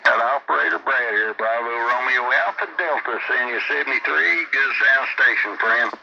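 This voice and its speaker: A man's voice: mid-range voice, moderate pace, vocal fry, sharp tone. A man making a radio contact call in clipped operator jargon. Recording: dull radio transmission with hiss.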